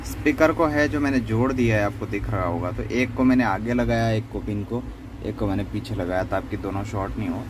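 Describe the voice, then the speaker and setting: Man explaining over clear background noise. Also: on the low side, a little fast. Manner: explanatory, conversational, repeats himself. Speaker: phone repair tutorial host. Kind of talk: unscripted step-by-step repair demonstration.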